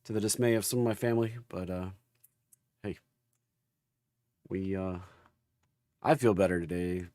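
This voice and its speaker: A man with a gravelly voice hosting a market livestream in a loose, folksy, informal chat style while getting over an illness. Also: low voice, moderate pace, long pauses, dark tone, no room sound, studio quality. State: recovering from an illness. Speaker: host of a stock market livestream.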